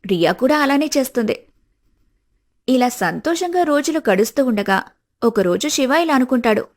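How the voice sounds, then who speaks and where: High-voiced woman narrating a story. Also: lively intonation, moderate pace, a little mellow.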